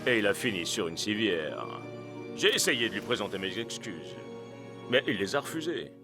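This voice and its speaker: Big Bo, earnest troubled footballer, expressive pitch. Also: male voice, normal speed, music in the background. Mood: remorseful. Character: troubled, guilt-ridden male footballer Big Bo. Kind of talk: French cartoon dubbing.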